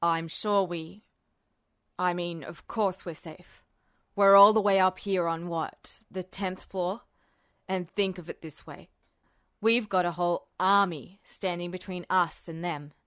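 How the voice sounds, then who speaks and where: A woman voicing an uneasy military man in an audiobook, halting and forcing himself to sound calm and reassuring. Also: on the low side, smooth, dry recording, studio quality, pitched below the performer's own voice. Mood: strained reassurance.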